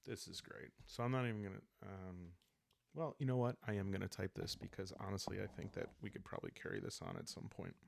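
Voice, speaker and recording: A man calmly thinking aloud in an unhurried, casual way as he plays and narrates a solo roleplaying game. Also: sits low, gravelly, dry recording. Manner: calm, unhurried, musing, meant for relaxed listening. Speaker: host and solo player of a journaling roleplaying game.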